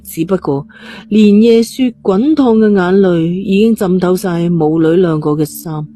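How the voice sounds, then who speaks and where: Mellow Cantonese woman narrating a story. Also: lowish voice, a little slow. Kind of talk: scripted dramatic narration of a suspense novel. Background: faint music.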